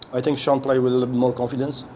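A middle-aged man, a head coach, answering questions at a post-game press conference, speaking haltingly with many restarts as he searches for words. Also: mid-range voice, flat delivery, a little mellow, slight background noise. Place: indoor press room. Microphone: podium mic on a stand.

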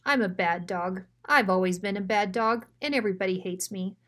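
A woman's voice: medium pitch, moderate pace, a bit bright, lightly acted. Woman playing big Rottweiler, storybook bully, blunt, emphatic, dramatic. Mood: mournful, self-pitying. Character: big ugly bullying male Rottweiler, gruff, then self-pitying.

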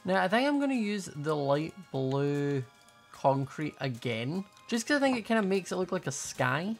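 A woman's voice: deep voice, big pitch swings, moderate pace, creaky. A young woman giving casual, playful gameplay commentary, thinking aloud as she hosts a gaming video. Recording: faint music behind voice. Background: faint music.

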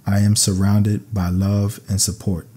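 A man narrating scripted sleep meditation affirmations in a calm, soothing, measured way. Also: on the low side, breathy edge.

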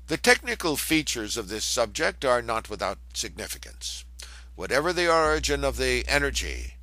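Bright-voiced man reading a scholarly lecture. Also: medium pitch, poor audio. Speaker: reader of a historical lecture text. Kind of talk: read-aloud scholarly lecture.

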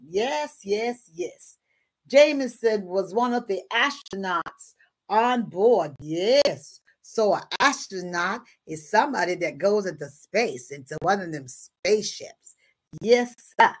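A woman playing a folksy, exuberant churchgoing storyteller with an American accent, reading to children with husky, exclamatory asides. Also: mid-range voice. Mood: amazed, folksy. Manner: exclamatory, folksy, repeats interjections. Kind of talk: dramatic read-aloud with chatty asides. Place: small room at home.

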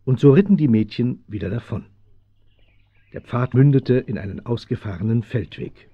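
Ein Schauspieler als Erzähler eines Abenteuerhörspiels für Kinder, mit dramatischem Vortrag. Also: male voice, mid-range voice, expressive, normal speed, slightly rough, highs cut off. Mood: matter-of-fact. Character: storytelling male narrator of children's adventure. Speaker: narrator. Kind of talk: dramatic scripted audio play narration.

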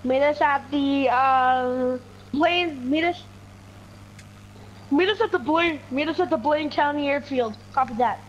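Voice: high-pitched, a little slow, dull audio, slight background noise. A game player improvising military radio roleplay, urgently repeating calls into a home gaming mic.

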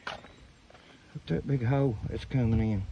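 A man's voice: medium pitch, normal speed, creaky, dull audio. Rambling man on a walk. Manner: rambling, folksy, talks to viewers while walking. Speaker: vlogger. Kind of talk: casual walking vlog. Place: rural roadside outdoors. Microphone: phone camera held while walking. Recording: outdoor phone audio with rural noise.

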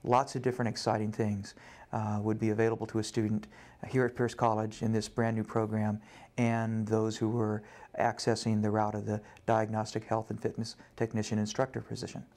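A man speaks in a fairly low voice with very little rise and fall. He explains things as an instructor would, in long, formal, informational sentences. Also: monotone, a little fast, darkish tone. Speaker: college program instructor. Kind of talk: informational promotional talk.

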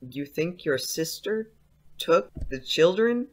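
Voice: mid-range voice, animated, slow, poor audio. An actor playing a polite, skeptical, uneasy schoolboy in an audio play, speaking hesitantly. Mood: sympathetic. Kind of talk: audio drama acting.

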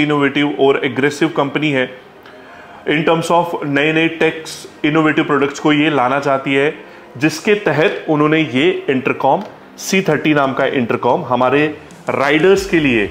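A man in his thirties with an Indian accent presents a product review to viewers, explaining directly and checking in with short tags. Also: on the high side. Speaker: host, motorcycle gear store vlogger. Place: small helmet shop, indoors. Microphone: clip-on lapel mic. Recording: roomy echo, clear background noise.

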